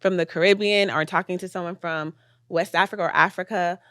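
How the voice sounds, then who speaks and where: A woman's voice: on the low side, fairly even intonation, fairly quick, a bit bright, no room sound. Reflective young woman, dry close mic. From United States. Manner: reflective, casual, many fillers. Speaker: podcast guest, filmmaker. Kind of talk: casual podcast conversation.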